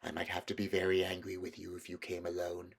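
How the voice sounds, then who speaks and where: A man's voice: sits low, monotone, quick pace, pitched below the performer's own voice, exaggerated voice. Man voicing a sly, coaxing witch queen. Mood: commanding, coaxing. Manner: smooth, wheedling, secretive.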